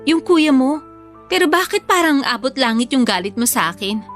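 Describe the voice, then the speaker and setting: High-voiced, stubborn returning girlfriend. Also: very animated, moderate pace, faint music behind. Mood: pleading, regretful. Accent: Filipino. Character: brother's returning girlfriend, a young mother, placating yet stubborn. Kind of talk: Filipino radio drama acting.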